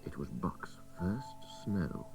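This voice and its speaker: A man reading a literary adventure story aloud in measured, expressive, dramatic narration. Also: deep voice, creaky, over music. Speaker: story reader. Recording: old cassette radio recording, distant, faint hiss.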